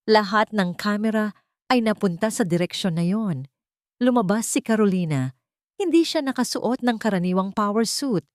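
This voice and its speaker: A woman narrating a scripted romance drama with dramatic pauses. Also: mid-range voice, a little mellow, no room sound, clean studio sound.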